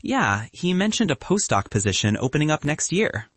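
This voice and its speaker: A man's voice: a little high, sing-song, moderate pace, fairly bright tone, dry recording. A man voicing an earnest, awkward young male physics student who answers in dry, understated lines.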